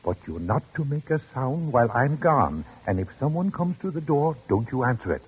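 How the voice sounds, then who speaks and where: A man's voice: mid-range voice, sing-song, brisk, husky. An actor playing an anxious man in his sixties in a radio drama, urgent and coaxing, repeating himself. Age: 60s. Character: anxious older man secretly hiding someone in his hotel room. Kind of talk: old-time radio drama acting. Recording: dull, noisy old radio recording.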